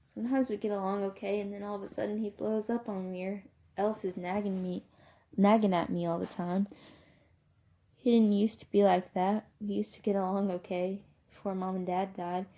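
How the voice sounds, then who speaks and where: A woman's voice: mid-range voice, level tone, normal speed, mellow, natural voice. Woman as teenage boy, sensitive younger brother, emotional, pleading, defensive, storybook character. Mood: hurt, scared.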